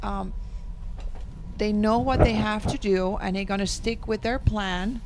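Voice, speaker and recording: A woman's voice: mid-range voice, steady tone, measured pace, very noisy. A woman with a Dutch accent commentating on tennis, hesitant and full of ums. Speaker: guest commentator. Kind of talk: sports commentary.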